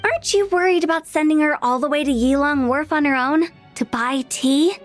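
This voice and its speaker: A woman's voice: high voice, normal speed, faint music behind, close to an everyday voice. A woman voicing a playful, inquisitive teenage girl in a video game, with a teasing, theatrical manner. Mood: probing, playful.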